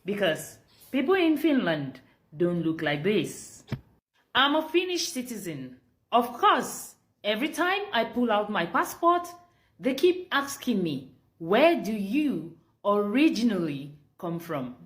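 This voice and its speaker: A woman's voice: mid-range voice, sing-song, takes it slowly. A woman speaking in a wry monologue with a repeated refrain. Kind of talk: spoken monologue clip.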